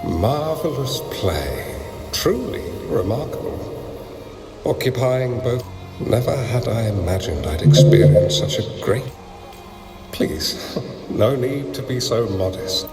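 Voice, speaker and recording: A venerable old male master from a video game, drenched in long reverb. His voice is gravelly and very slow, gracious and stately in its courtesy. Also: mid-range voice, big pitch swings. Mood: gracious, admiring. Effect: echoing reverb. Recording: echoing long reverb, faint music.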